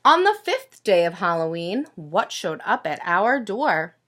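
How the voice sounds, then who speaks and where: Female teacher, picture book read-aloud, slow pace, highly varied intonation, expressive, questions to young listeners. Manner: expressive, sing-song counting, addresses listeners with questions.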